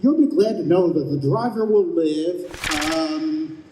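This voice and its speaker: Man playing a chipper, high-voiced heavenly escort. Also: expressive, unhurried, reverberant, background noise. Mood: businesslike. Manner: breezy, matter-of-fact, officious. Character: chipper, businesslike male heavenly escort for souls. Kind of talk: live old-time radio play acting. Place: live stage at a convention.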